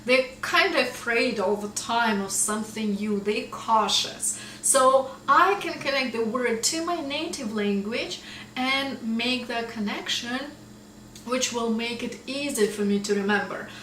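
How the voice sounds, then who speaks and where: A woman with a Russian accent, a native Russian speaker, teaching English vocabulary in a casual lesson, explaining slowly and often checking in with "right?". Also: fairly high pitch, bright, forceful tone, echoey room, far from the mic, slight background noise, poor audio.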